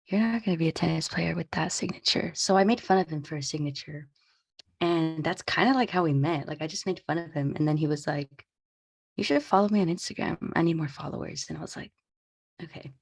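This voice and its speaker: Low-voiced teasing young woman. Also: brisk, vocal fry, husky, dry recording. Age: young adult. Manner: teasing, dry. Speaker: co-host. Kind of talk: casual storytelling.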